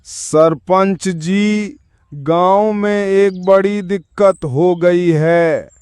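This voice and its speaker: A man's voice: high-pitched, smooth, dry recording, clean studio sound. A man voicing a troubled, deferential villager pleading in a cartoon story. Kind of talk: Hindi cartoon story dialogue.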